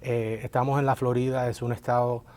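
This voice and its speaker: A man's voice: mid-range voice, flat delivery, brisk, darkish tone. A man born in Colombia and raised in Miami, answering interview questions as a lawyer, hesitant, with many filler sounds. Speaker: workers' compensation lawyer, guest.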